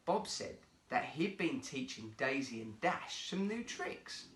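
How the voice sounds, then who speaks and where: A man reading a children's story aloud as an expressive storyteller. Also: medium pitch, takes it slowly, reverberant, a little hiss or hum. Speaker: guest storyteller, actor.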